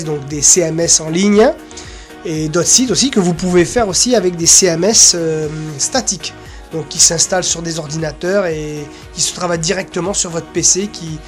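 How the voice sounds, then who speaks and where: A presenter giving an informal, unscripted screen-share walkthrough, rambling casually with little filler sounds. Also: medium pitch. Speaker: presenter of an association's website.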